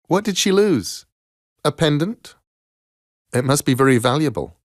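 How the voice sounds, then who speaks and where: A man's voice: on the high side, very animated, measured pace, no room sound, studio quality, pitched above the performer's own voice. Man reading as a curious, playful ageless stranger. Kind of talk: storybook character voice.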